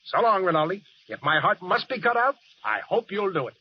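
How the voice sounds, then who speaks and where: Lovestruck American army officer, brash, fairly high voice, big swings, muffled old radio recording. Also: male voice, big pitch swings, fairly quick, husky, exaggerated voice. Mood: cocky. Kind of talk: 1940s radio drama acting. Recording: dull, hissy vintage radio recording.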